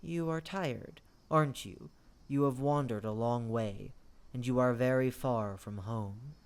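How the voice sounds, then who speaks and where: A performer voicing a commanding, gracious fae monarch in a formal, measured radio-play manner. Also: lightly acted. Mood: quiet, gentle. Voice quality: deep.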